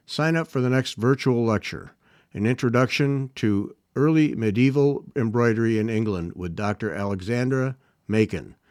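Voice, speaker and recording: A man hosting a podcast and interviewing a guest, smooth on sponsor reads and halting when he asks questions. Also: mid-range voice, a little mellow, no echo, studio quality. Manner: halting, restarts and fillers when asking. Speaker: podcast host.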